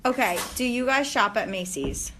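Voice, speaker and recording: A young woman in her twenties leads a student focus group. Her voice sits a little high, and she asks her questions politely, with hesitant fillers between them. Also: lively intonation, normal speed, sharp tone, a little hiss or hum.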